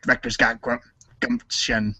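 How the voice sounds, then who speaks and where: A man's voice: medium pitch, little pitch movement, unhurried, bright, forceful tone. A man acting a cool, cocky, slangy teenage boy wisecracker in a reader's theater. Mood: cocky, rapping. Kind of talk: read-along storybook reader's theater acting, rapping.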